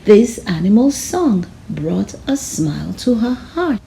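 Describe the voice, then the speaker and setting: Slow, mellow woman reading a children's story. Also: medium pitch, big pitch swings, creaky, a little hiss or hum. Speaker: storybook reader. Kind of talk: children's read-aloud narration.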